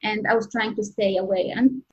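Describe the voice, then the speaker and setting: A woman in her thirties from Egypt with an Egyptian accent, answering interview questions as a medical resident, earnest and halting with many restarts. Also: medium pitch. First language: Arabic. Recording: dull lo-fi audio.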